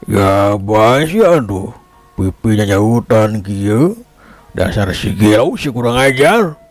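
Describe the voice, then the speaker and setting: A man voicing an aggrieved, excitable village chief in a folk tale, with a Sundanese accent and groaning, exclamatory delivery. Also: fairly low pitch, slow pace, no room sound, faint music behind. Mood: aggrieved, pained.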